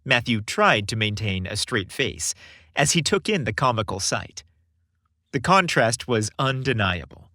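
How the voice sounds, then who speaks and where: A man narrating a scripted story in a polished, expressive storytelling manner. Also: mid-range voice, a little slow, no room sound, clean studio sound.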